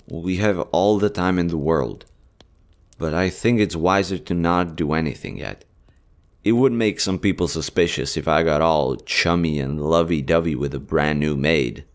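A man voicing a gentle, smitten army general in a romantic roleplay audio, tender, earnest and a little hesitant. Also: a bass voice. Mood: cautious, affectionate. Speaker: voice actor.

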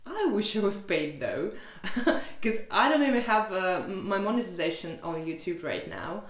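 A woman's voice: mid-range voice, sing-song, measured pace. Young Russian woman, frank vlog to camera, sarcastic edge, some echo from the room. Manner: exasperated, candid, sarcastic, swears freely. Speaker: vlogger. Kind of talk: casual chat to camera. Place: small room indoors.

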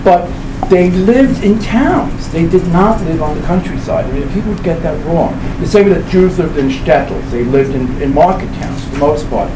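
A man's voice: a little high, animated. Older man lecturing in a noisy, echoey room. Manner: halting, discursive, with repeated phrases and self-corrections. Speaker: professor giving a book presentation. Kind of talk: informal lecture to a live audience. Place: indoor library event room. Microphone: camera mic a few meters away. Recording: roomy, distant mic with loud background noise.

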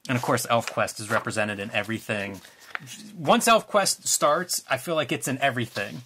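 Guy flipping thru old comics, musing, bright voice, bit of background hiss. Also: mid-range voice, moderate pace. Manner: relaxed, musing, trails off with fillers. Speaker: co-host showing comics. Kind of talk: casual show-and-tell chat.

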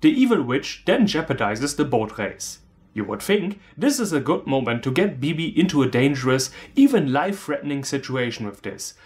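A man's voice: medium pitch, big pitch swings, normal speed. A man in his thirties with a German accent, giving a scripted comedic film review in a sarcastic, theatrical manner. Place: small room indoors, on a couch.

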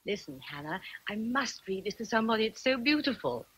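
An actress playing a bookish, gentle young English schoolteacher in a radio drama. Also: female voice, mid-range voice, sing-song, a little fast, slightly rough, poor audio. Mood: enthusiastic.

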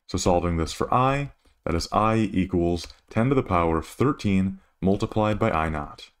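A man with a low-pitched voice gives an instructional explanation. He works through a problem as a tutor would, laying out each step methodically. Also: lively intonation, normal speed, clean tone. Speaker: physics tutor.

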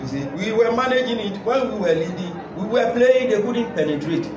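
A man's voice: sits high, expressive, brisk, highs cut off. A middle-aged man, a football coach answering at a post-match press conference, emphatic and repeating his points. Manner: emphatic, repeats key points, gestures while talking. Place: indoor press room with sponsor backdrop. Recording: echoey room, mic far off, loud crowd noise.

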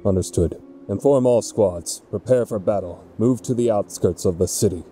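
A man's voice: on the low side, moderate pace, mellow, faint music behind, close to an everyday voice. A man voicing a grim, dutiful rebel soldier in a video game fan dub, giving terse military reports. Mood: commanding.

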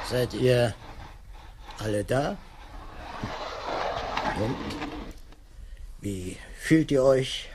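An actor playing a pompous, timid master baker in a radio play, speaking rhetorically with a husky, creaky voice. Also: male voice, on the low side, heavy background noise. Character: brooding, grandiloquent master baker, timid and anxious. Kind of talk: 1980s German radio play acting.

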